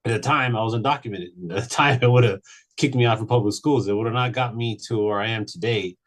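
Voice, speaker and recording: A man in his late thirties, from Mexico, telling his personal story on a panel in an earnest, halting way, with many restarts. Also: mid-range voice. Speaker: panel speaker. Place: virtual event.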